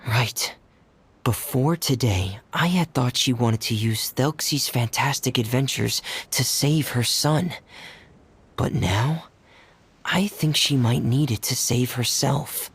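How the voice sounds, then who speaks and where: Game lead: thoughtful young man, slow and deliberate, very husky and breathy. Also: medium pitch, heavily acted. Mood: focused resolve. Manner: calm, deliberate. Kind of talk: video game voice acting.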